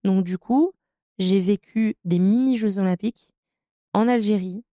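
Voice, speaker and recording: A woman's voice: medium pitch, measured pace, no echo. A woman in her thirties, a professional handball player from France, telling her career story in a podcast interview with many hesitations. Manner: hesitant, many fillers, warm enthusiasm about her career.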